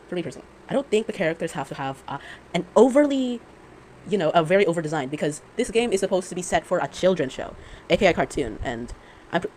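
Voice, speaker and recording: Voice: mid-range voice, rough recording. A person giving an unscripted, sarcastic fan rant, rambling with stammers and frequent self-corrections. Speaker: fan commentator. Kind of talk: unscripted opinion rant about a game. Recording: amateur recording with clear background noise.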